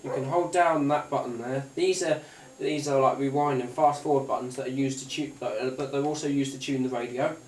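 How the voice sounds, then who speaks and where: A man's voice: medium pitch, fairly even intonation, fairly quick, few pauses. A British man giving a casual, unscripted product demonstration in a hesitant, rambling manner. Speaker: gadget reviewer. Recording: roomy, distant mic, faint noise.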